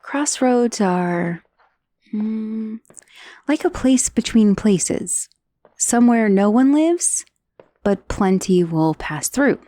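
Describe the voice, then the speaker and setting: A woman's voice: on the high side, expressive, slow, mellow, no echo. Friendly magical severed head, female dullahan, played by an actress, ASMR roleplay. Leisurely pace, casual and a little hesitant. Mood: casual, musing. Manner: casual, hesitant asides with sighs and murmurs. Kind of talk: ASMR roleplay acting, addressing the listener.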